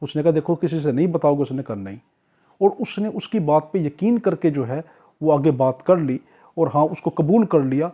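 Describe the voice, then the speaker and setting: The recording is dull and lo-fi. A Pakistani man with a very mellow, slightly high voice delivers a lecture. He explains step by step in a didactic way, putting questions and then answering them himself. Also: expressive, normal speed. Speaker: law teacher, lecturer. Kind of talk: explanatory lecture with acted-out examples.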